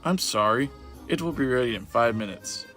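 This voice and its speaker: A woman's voice: extremely low pitch, lively intonation, normal speed, a bit bright, faint music behind, voice pushed down. A woman voicing an apologetic candy store keeper in a children's storybook.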